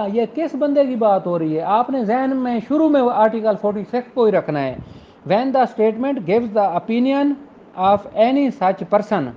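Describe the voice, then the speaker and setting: A man with a Pakistani accent teaches law in a noticeably high-pitched voice. He reads passages out, then explains them didactically with simple everyday examples. The recording is dull and muffled, with a lo-fi sound. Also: a little hiss or hum. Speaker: law lecturer.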